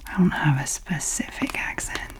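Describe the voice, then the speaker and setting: Whispering woman with a light Scottish accent. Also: normal speed. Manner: soft unvoiced whisper, rambling and hesitant. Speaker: ASMR creator. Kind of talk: ASMR whispering. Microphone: close to sensitive mics.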